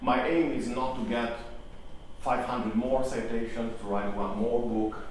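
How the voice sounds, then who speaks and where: A man's voice: mid-range voice, steady tone, a little slow, far from the mic, slight background noise. Echoey hall talk, man. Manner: hesitant, many ums and restarts. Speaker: conference speaker. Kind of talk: live conference talk. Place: conference hall.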